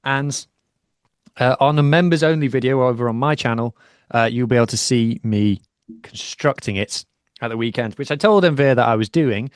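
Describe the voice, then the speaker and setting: A man's voice: medium pitch, moderate pace, smooth, dry recording. A man co-hosting a rugby podcast from his home studio, chatting and explaining in a self-deprecating way. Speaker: podcast co-host. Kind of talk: casual chat and rugby analysis.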